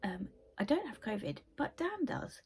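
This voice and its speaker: A woman's voice: a little high, very animated, moderate pace, darkish tone, slight background noise. A young woman with a cold, hosting a book channel and chatting casually about her favourite reads, rambling with lots of asides. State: croaky from a cold. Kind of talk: casual book review chat.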